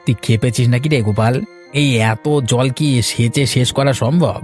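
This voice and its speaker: A man's voice: mid-range voice, normal speed, darkish tone, soft background music, lightly acted. A man voicing a sensible, resigned village farmer in a cartoon story, giving advice like an elder brother in a matter-of-fact way. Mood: reproving, practical.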